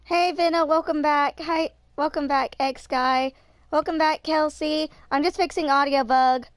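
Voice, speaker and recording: High-voiced young woman streaming games. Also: steady tone, measured pace, dry recording, studio quality. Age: 20s. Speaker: game streamer. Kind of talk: casual livestream chat while gaming.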